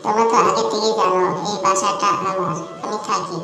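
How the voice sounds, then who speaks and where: A woman's voice: medium pitch, little pitch movement, brisk, crowd noise, close to an everyday voice. A woman voicing a helpless little female bird, plaintive and pleading, in a children's cartoon. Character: helpless, pleading little female tailorbird robbed of her nest. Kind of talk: Bengali children's cartoon dialogue. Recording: roomy echo, music bed.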